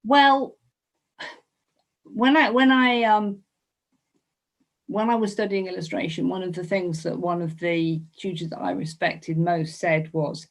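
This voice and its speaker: A woman's voice: lowish voice, fairly even intonation, a little slow, pauses often. A woman being interviewed as an illustrator, talking casually about her work and thinking aloud with hesitant, repeated phrases. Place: online video call.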